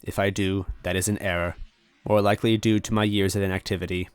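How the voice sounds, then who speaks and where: A man's voice: deep voice, flat delivery, quick pace, clear, resonant tone, no echo, close to an everyday voice. A man voicing a lonely, apologetic old supercomputer in a story, speaking in formal, precise wording. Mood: formal, resigned.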